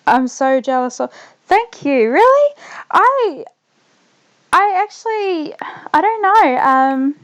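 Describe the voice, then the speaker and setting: A young woman with a New Zealand accent tinged slightly Australian, chatting loosely as a streamer while she plays, trailing off quietly as she concentrates. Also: extremely high pitch, sing-song, slow, long pauses. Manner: rambling, trails off softly when focused on the game. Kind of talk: casual gaming livestream chat.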